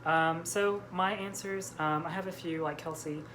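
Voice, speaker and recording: Low-pitched woman chatting casually about books. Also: steady tone, normal speed, noisy background. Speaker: book vlog host. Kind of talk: casual book chat.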